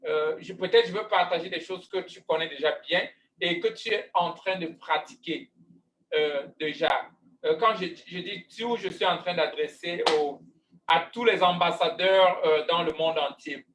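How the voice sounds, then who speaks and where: A man's voice: high voice, moderate pace, a bit bright, echoey room, distant mic, slight background noise, rough recording. A man from Cameroon, speaking as a guest coach in an online talk, hesitant with many fillers and restarts. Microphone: video call.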